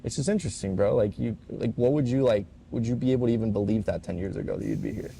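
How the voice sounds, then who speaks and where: A man's voice: on the low side, fast, creaky, mellow, a little hiss or hum. A man co-hosting a podcast in a warehouse, chatting casually in a rambling way, full of fillers and swearing. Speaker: podcast co-host. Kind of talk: casual chat.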